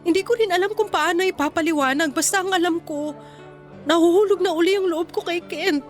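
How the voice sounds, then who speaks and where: A woman playing a proud, sharp-tongued young mother and ex-wife in a Filipino radio drama, with pointed, sassy delivery. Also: very high-pitched, fairly quick, faint music behind. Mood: apologetic.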